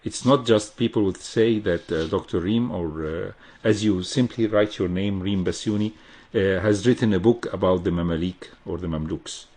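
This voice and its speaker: A male radio host speaks in a very deep, husky voice. He asks long, formal questions, pausing often on fillers. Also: darkish tone. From Egypt. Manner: formal, halting, many fillers. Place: radio studio.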